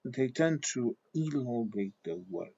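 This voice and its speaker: A man from southern Portugal with a Portuguese accent, talking casually in English as a second language in a halting, digressive monologue, often checking in with a questioning okay. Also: medium pitch, very animated, dark tone, slightly rough. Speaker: vlogger giving personal commentary. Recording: dull lo-fi sound.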